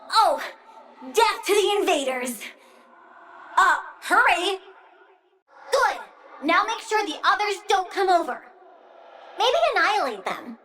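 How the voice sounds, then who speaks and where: A woman's voice: very high voice, pushed and bright, full character voice. An actress playing a childlike plant-girl villain in a video game, girlish and playful, petulant, gleefully menacing and doting on her plants. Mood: playful menace. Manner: playful, petulant, swings from cooing to threats. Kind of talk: video game character voice lines.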